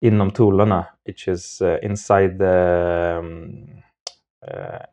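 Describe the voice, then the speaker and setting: Man, low pitch, very mellow tone, casual interviewee, halting word choice. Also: steady tone. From Moldova. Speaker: podcast guest.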